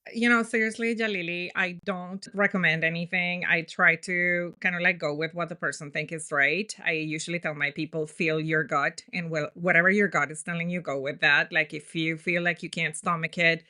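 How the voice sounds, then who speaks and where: A woman from Colombia speaks as a therapist in a relaxed podcast chat. Her voice is bright and sits fairly low. She talks in a chatty, emphatic way and laughs often. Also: moderate pace, few pauses. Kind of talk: casual podcast conversation.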